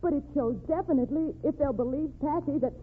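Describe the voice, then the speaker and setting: A woman's voice: high voice, quick pace, close to an everyday voice. An actress playing a loyal, determined young American working girl in an old crime radio drama, earnest and plain-spoken. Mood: insistent. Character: loyal, determined working-class young woman, gangster's sweetheart. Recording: tinny noisy old radio recording.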